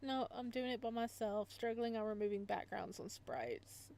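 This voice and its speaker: A woman's voice: mid-range voice, moderate pace, creaky, darkish tone. A woman live streamer chatting casually as she reads a visual novel, with giggly, playful asides. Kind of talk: casual livestream chat while reading a visual novel aloud.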